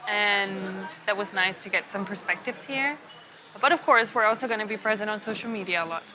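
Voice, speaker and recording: A woman's voice: mid-range voice, fairly quick, pushed and bright, rough recording. A young woman, chatting about her studies and journalism work. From Germany. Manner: chatty, thinks aloud. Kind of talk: casual interview answers.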